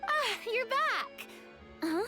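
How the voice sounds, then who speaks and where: A woman's voice: extremely high pitch, sing-song, extremely slow, over music, exaggerated voice. A woman voicing a playful, theatrical young noblewoman in a game. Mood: teasing. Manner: teasing, dramatic.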